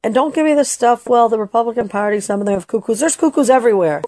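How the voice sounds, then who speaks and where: A woman's voice: a little high, expressive, fast, a little mellow, dry recording. A woman hosting a political talk radio show, delivering an emphatic, opinionated monologue with restarts and bits of Spanish. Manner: slips into Spanish phrases now and then.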